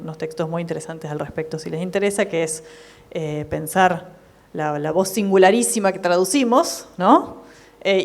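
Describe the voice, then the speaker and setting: A woman's voice: medium pitch, darkish tone. A woman with a Córdoba Spanish accent moderates a panel at a book fair. She talks casually, with many fillers and restarts. Her voice rises when she puts a question to the panel. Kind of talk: panel discussion, asking questions. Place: conference hall at a book fair.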